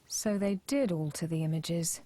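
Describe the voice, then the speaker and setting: A woman playing a brisk, businesslike captain of investigators in an animated film, giving orders. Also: on the low side, natural voice. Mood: brisk, concerned. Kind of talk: animation dub acting.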